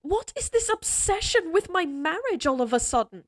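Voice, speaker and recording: A woman's voice: very high-pitched, very animated, moderate pace, no echo, clean studio sound, pitched above the performer's own voice. A woman voicing a proud, headstrong young lady knight in a fantasy audio roleplay, with spirited, formal speech. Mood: indignant. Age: young adult.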